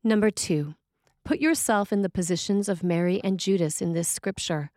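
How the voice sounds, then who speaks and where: A woman reading a scripted sermon in a measured, deliberate way. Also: mid-range voice, darkish tone, no echo. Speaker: sermon reader.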